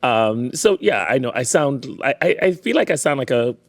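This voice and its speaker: A man's voice: medium pitch, animated, moderate pace, no echo, studio quality. A man from Jamaica with a Jamaican accent polished by classical training, a performer chatting playfully and theatrically in an interview. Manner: playful, theatrical, self-mocking. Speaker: performer and show host. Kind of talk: casual interview chat.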